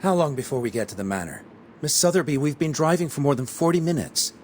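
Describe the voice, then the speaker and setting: A man playing a dutiful, level-headed companion in a video game, brisk and businesslike. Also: a little high, animated, darkish tone, a little hiss or hum, close to an everyday voice. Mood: impatient.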